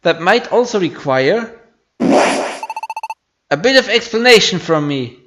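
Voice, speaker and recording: Man as a courteous, high-voiced mentor creature. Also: very animated, noisy background, exaggerated voice. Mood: courteous explaining. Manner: measured, courteous, explanatory. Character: polite, knowing mentor creature explaining things to juniors. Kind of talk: amateur game character voicing. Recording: music behind the voice.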